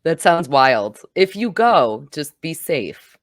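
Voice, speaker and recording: A woman's voice: sits low, big pitch swings, slow pace, no room sound, studio quality. A woman hosting a podcast, recapping and reviewing a movie in a conversational way. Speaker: podcast host and film reviewer.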